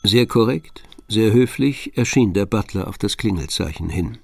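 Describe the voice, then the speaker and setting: An actor as the composed storyteller of a detective tale, delivering scripted radio drama narration. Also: male voice, lowish voice, expressive, moderate pace, gravelly, darkish tone.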